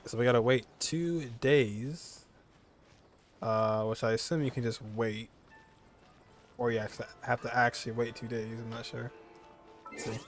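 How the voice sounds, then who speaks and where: Guy streaming a game, chill offhand commentary, kinda talking to himself, bit of music in the back. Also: mid-range voice. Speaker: gaming streamer. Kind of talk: casual gameplay commentary. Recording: faint game music behind.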